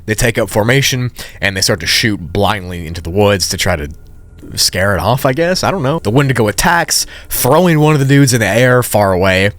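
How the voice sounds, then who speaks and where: A man's voice: on the low side, expressive, fairly quick, fairly bright tone, dry recording. A man narrating a story recap in a casual, conversational way with informal asides.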